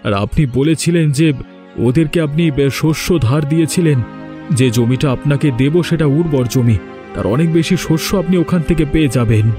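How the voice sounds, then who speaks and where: A man's voice: a little high, normal speed, music in the background, close to an everyday voice. A man playing a principled, defiant young village man in a cartoon drama, speaking with firm challenge. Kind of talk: Bengali cartoon drama dialogue.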